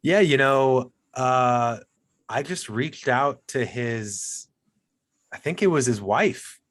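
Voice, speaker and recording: A man's voice: mid-range voice, animated, slow, a bit bright. A man guesting on a golf podcast, chatting casually and telling stories with self-deprecating humor. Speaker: podcast guest, co-host of another golf show. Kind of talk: casual interview chat.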